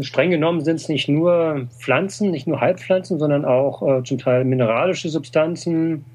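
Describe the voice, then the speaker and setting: A man's voice: a little high, darkish tone. A middle-aged man from Germany answers interview questions at an easy, explanatory pace. He pads his sentences with hesitations and tag questions, building his points step by step. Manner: explanatory, frequent "äh" and "ne?". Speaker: TCM practitioner. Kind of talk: conversational interview.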